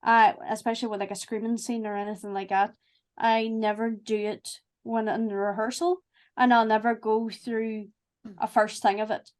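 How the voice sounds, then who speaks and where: A woman chats casually. She is effusive, telling things in an animated way. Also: on the high side, moderate pace. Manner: effusive, animated storytelling with acted-out asides. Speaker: voice actor and executive producer. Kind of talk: casual cast chat.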